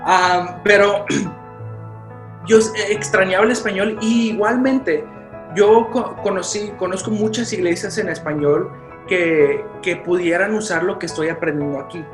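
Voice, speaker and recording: A man's voice: high voice, unhurried. A man who grew up in Chicago with Spanish as his first language speaks as a youth pastor in a casual interview. He stumbles and restarts his sentences often, slipping in English fillers. His voice sits in a roomy space with music playing underneath. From Chicago, United States. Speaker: youth pastor and podcast guest.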